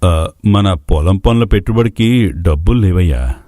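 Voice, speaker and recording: A man's voice: low-pitched, animated, unhurried, warm tone, dry recording, clean studio sound. A man playing an anxious, loving village farmer father, speaking hesitantly in a dramatized moral story. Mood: doubtful.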